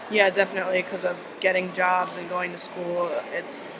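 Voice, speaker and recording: A woman's voice: lowish voice, completely flat delivery, moderate pace. A young woman whose first language is Arabic, answering interview questions in an easygoing, reflective way. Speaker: student interviewee. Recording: noisy outdoor recording.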